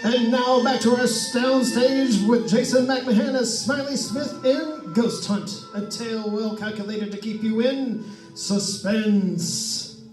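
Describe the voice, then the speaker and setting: A man's voice: extremely high pitch, slow, music in the background. A man announcing a suspense show in a scripted, old-time radio style. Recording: roomy, slightly distant stage pickup.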